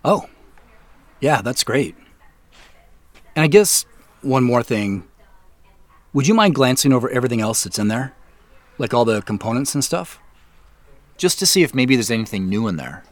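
An actor playing an anxious, stubborn amateur investigator in a naturalistic audio drama, speaking in an earnest, hesitant, conversational way. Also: male voice, mid-range voice, very animated, moderate pace, pauses often, no room sound, lightly acted. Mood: polite, hesitant.

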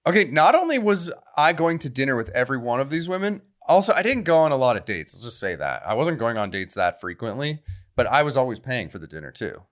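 A man's voice: mid-range voice, sing-song, quick pace. A man co-hosting a podcast in casual banter, wry and mock-incredulous, with some casual swearing. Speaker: podcast co-host.

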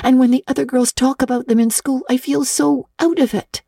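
Woman voicing a high, excitable young storybook girl. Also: fairly quick, gravelly, dry recording, clean studio sound. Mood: repentant, earnest. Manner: gushing, dramatic, breathless emphasis. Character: excitable, earnest, talkative young orphan girl.

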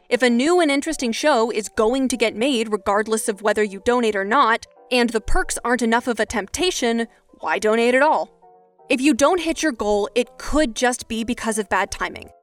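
A woman's voice: on the high side, fairly bright tone. A woman hosting an advice podcast, moving between scripted narration and interview talk. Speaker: podcast host.

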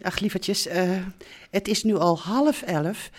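A woman's voice: mid-range voice, lively intonation, takes it slowly. A woman playing a fond but exasperated middle-aged mother in a Dutch radio drama. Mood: coaxing, cheery.

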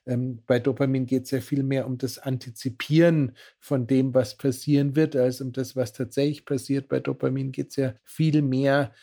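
A man's voice: mid-range voice, level tone, moderate pace, few pauses, mellow. A man explaining science on a podcast in long, winding sentences full of fillers. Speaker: biohacking expert guest.

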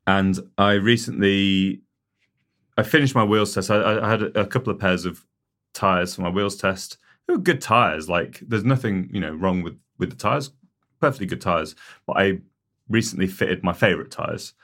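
Deep-voiced man in relaxed gear talk. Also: moderate pace. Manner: relaxed, hedging with "you know, like". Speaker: technical editor, wheel tester. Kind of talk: casual technical chat.

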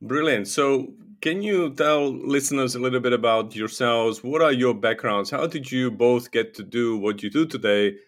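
A man's voice: medium pitch, normal speed, hardly any pauses. A man hosting a podcast interview, asking his guests questions in a friendly, upbeat way. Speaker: podcast host.

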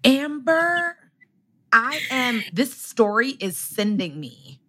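High, bright, lively-voiced woman host. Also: slow, slight background noise. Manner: expressive, exclaiming, reacting with surprise. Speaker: podcast host. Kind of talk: casual conversational interview.

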